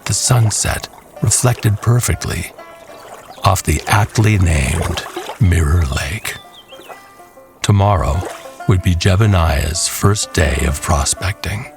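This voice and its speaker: Deep-voiced, slow male storyteller over music. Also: lively intonation. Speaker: narrator. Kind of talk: scripted horror western storytelling narration.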